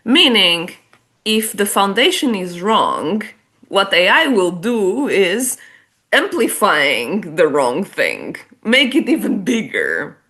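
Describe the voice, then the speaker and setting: A woman's voice: mid-range voice, sing-song, slow, fairly bright tone, slightly rough. A woman with a Portuguese accent, a non-native English speaker, explaining her ideas in a chatty, casual interview. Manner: chatty, explanatory, many restarts and small laughs. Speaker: guest author and business development head.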